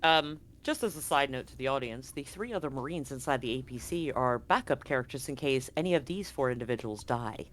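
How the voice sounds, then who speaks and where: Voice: medium pitch, lively intonation, fairly quick, hardly any pauses, a bit bright. A game master narrating a live-streamed tabletop roleplaying game in an informal, conversational way, thinking aloud.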